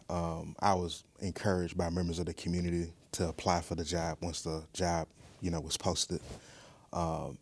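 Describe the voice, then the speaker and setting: A man speaks in a low register with very little rise and fall. He answers interview questions in a measured, earnest way, thinking as he goes. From Mississippi, United States. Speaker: museum director, interview guest.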